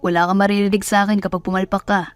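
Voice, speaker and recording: A woman's voice: medium pitch, little pitch movement, racing, clean tone, no echo, soft background music, natural voice. A woman voicing a blunt, sensible friend in an audio drama, matter-of-fact and admonishing. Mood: cautioning.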